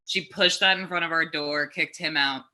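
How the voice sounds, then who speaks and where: Bright-voiced joking young woman comedian. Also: low voice, fairly even intonation, moderate pace. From Texas, USA. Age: 20s. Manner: chatty, darkly joking, many fillers like "like". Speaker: stand-up comedian, podcast guest. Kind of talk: casual conversational storytelling.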